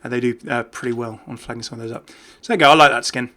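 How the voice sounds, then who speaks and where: Brief: male gaming YouTuber, bright forward voice, mid pitch, rambling casual commentary, thinks aloud. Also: steady tone, racing. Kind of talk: casual off-the-cuff game commentary.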